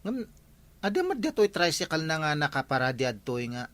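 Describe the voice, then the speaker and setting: An actor playing an excitable, obliging tricycle driver in an Ilocano village comedy drama. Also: male voice, a little high, moderate pace, no room sound. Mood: defensive.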